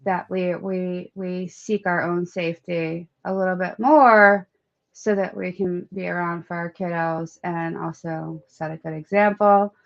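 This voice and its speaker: A woman chats casually as a livestream guest, relaxed and conversational. Her voice sits on the lower side. It comes through a dull, lo-fi microphone. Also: measured pace, gravelly.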